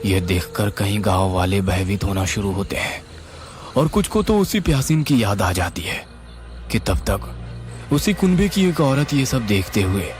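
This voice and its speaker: Male horror narrator: lowish pitch, animated delivery, dramatic and suspenseful, clear music bed underneath. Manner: suspenseful, expressive. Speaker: storyteller, narrator.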